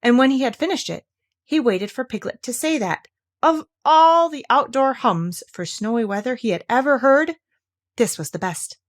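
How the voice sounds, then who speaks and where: A woman reading a children's storybook aloud as an expressive narrator. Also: fairly high pitch, moderate pace. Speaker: storybook reader.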